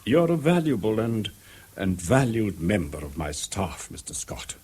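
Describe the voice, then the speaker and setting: Senior school head, older, English, radio drama. Very husky, hugely varied melody, courteous but pompous. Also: male voice, fairly low pitch, big pitch swings, darkish tone, exaggerated voice. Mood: probing, formal. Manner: formal, deliberate, halting phrases. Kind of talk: 1970s radio drama acting.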